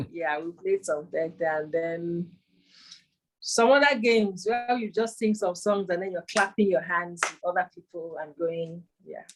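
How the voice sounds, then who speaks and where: A Nigerian woman in her thirties, a poet and author, recalling her childhood over a video call, pausing to search for words. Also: on the low side, expressive, a bit bright, slight background noise, poor audio. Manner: thoughtful, searching for words with frequent ums. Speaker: interviewee, performance poet and author. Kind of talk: remote interview, casual reminiscing.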